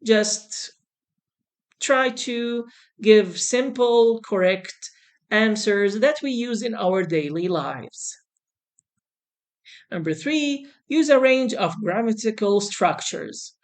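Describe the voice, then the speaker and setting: A woman teaching an English exam lesson in a deliberate, reassuring manner, repeating her key points as she goes. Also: on the high side, animated. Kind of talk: instructional lesson to camera.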